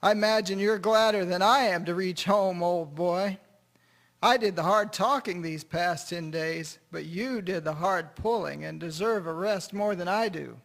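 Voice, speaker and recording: A man's voice: sits high, a little slow, fairly bright tone, full character voice. A man playing a kindly, chatty farmer who talks fondly to his horse in a radio drama. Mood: affectionate. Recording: noisy, with clear foley sounds behind.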